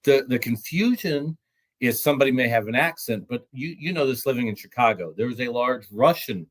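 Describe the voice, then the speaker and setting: Guy guest explaining stuff plainly, mid-pitch, restarts words now and then. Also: expressive, a little fast. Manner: matter-of-fact, explanatory, some stammered restarts. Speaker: trucking recruiting executive, guest. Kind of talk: podcast discussion.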